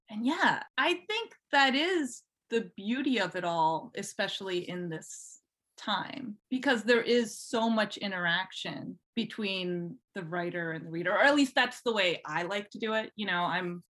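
A woman's voice: mid-range voice, fairly bright tone. A woman guest on a podcast, chatting informally and with some self-deprecation about her writing. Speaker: podcast guest, fan fiction writer. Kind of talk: casual interview chat.

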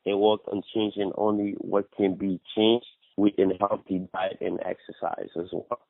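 A man's voice: fairly low pitch, level tone, moderate pace, vocal fry, no room sound. A man from Haiti with a Haitian accent, giving casual fitness advice as a personal trainer in an interview, repeating phrases and often adding "you know". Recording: tinny phone-like radio line.

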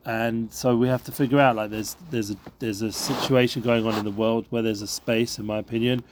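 A man's voice: medium pitch, steady tone, fairly quick, noisy background. A man from Northwest London with a London accent, chatting casually and agreeably as a podcast guest. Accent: London English. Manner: easygoing, agreeable, frequent short assents. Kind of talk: casual chat.

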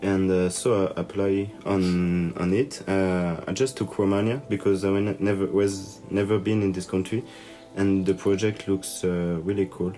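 A young French biology student with a French accent and a fairly low voice. He speaks haltingly and pauses on many "uh"s. Faint music is heard in the background. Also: level tone, warm tone. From France. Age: young adult. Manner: halting, frequent "uh". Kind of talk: casual self-introduction.

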